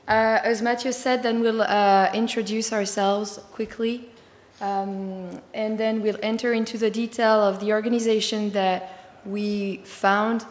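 A woman with a slightly hoarse, tiring voice giving a conference talk, fluent but with many fillers. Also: mid-range voice, little pitch movement, a little slow. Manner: fluent, filler-heavy explaining. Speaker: conference speaker. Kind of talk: conference presentation. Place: large conference hall. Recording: echoey hall with long reverb.

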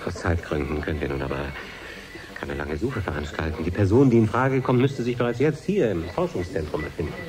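Casting: grave, formal male scientist for radio drama, precise explainer, clear music bed. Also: low-pitched, animated, fairly quick. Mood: grave, explanatory. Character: grave male professor explaining a risky project.

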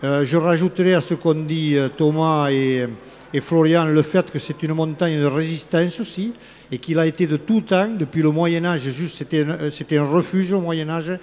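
A man's voice: on the high side, steady tone, moderate pace, a little mellow. A man in his sixties, a local elected official, speaking in a live interview on a fair-hall studio set, hesitant with many fillers and restarts. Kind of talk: live talk-show interview. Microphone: red handheld microphone. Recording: faint fair hall ambience.

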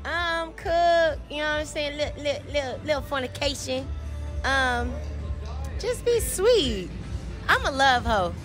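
A woman's voice: high-pitched, lively intonation, measured pace, a bit bright. Chatty young woman with music behind. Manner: chatty, giggly, rambling. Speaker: interviewee. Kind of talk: casual candid answers. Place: arcade at night.

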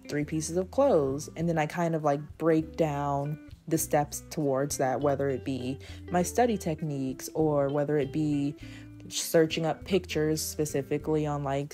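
A hoarse woman in her twenties speaks in a deliberately low, dark register, her worn voice sitting deep for a woman. She talks casually as the host of her own journaling channel. Soft guitar music plays faintly behind her. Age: 20s. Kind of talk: casual talk over journaling.

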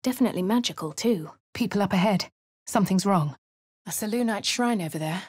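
An actress playing a posh, composed fantasy adventurer in a video game, with a British accent and a refined, measured, dramatic delivery. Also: female voice, mid-range voice, darkish tone, breathy edge, no room sound, clean studio sound, lightly acted. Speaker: voice actor for a video game player character. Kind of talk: scripted game voice lines and narration.

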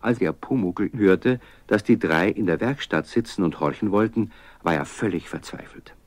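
A man's voice: low voice, normal speed. A man narrating a children's radio play in a scripted storytelling style, as its storybook narrator.

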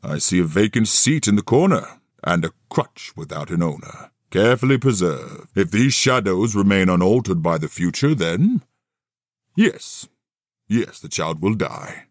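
Male actor as mocking Christmas ghost in audio drama: grave, pronouncing, lively pitch swings, dry sound. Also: a little slow, dry recording, full character voice. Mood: stern, grave. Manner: theatrical, sardonic, pronouncing.

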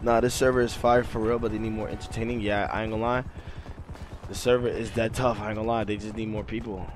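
A man's voice: lowish voice, little pitch movement, fast talker. An American man streaming an online roleplay game, chatting casually in repetitive slang. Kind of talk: casual gaming chat in character. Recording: clear background noise, game ambience.

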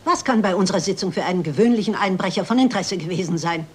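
A woman's voice: mid-range voice, fast talker, close to an everyday voice. An actress playing a dignified, shrewd elderly lady sleuth in a German crime radio play, speaking politely and precisely. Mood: shrewd, insistent.

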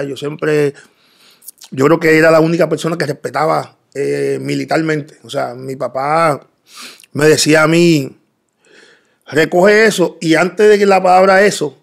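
Gravelly-voiced middle-aged man. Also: a little high, moderate pace. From Dominican Republic, raised in Puerto Rico. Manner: calm, hesitant, many fillers. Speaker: interview guest. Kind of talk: casual interview. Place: pink studio set. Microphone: boom studio mic.

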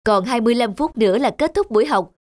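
A man voicing a calm, kindly schoolteacher in a children's cartoon, speaking in a measured, instructive way. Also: extremely high pitch.

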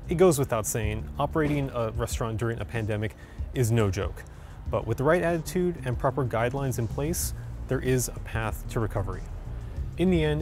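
A young man from San Francisco in his twenties, hosting a scripted explainer video outdoors and talking straight to camera in an upbeat, conversational way. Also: mid-range voice. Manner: upbeat, conversational, direct address. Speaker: video host. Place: outdoors on city streets. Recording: music bed underneath.

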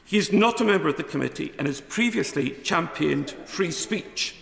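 A man's voice: a little high, animated, moderate pace, vocal fry, fairly bright tone. A middle-aged man, a member of parliament, giving a formal, defensive speech from his notes in a debating chamber. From Scotland. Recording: echoey chamber with long reverb.

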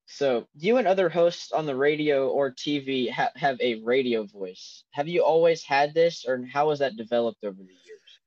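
A young man hosting a podcast, asking halting questions with restarts over a video call. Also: mid-range voice, animated, a little mellow, no room sound. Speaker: podcast host, interviewer. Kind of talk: casual interview on a video call. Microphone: laptop webcam on a video call. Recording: dull lo-fi video call audio.

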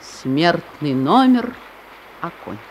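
A woman's voice: on the low side, sing-song, slow pace, slight background noise. An actress playing a wide-eyed heroine narrating her childhood in a radio play. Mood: suspenseful.

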